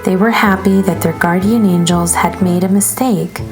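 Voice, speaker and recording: A woman reads a children's story aloud as its narrator. Her voice is a little low and expressive, with music playing underneath. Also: normal speed.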